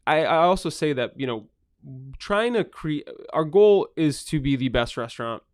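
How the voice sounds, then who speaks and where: A man's voice: a little high, animated, normal speed, no echo. Earnest man with a dry close sound. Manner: earnest, repeats words when starting a thought. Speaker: restaurant owner. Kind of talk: casual podcast conversation.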